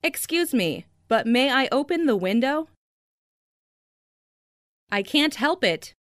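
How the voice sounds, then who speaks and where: Female language-lesson narrator, higher-pitched and unhurried, enunciating each practice phrase. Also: big pitch swings, no echo, studio quality. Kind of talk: scripted reading of English practice phrases.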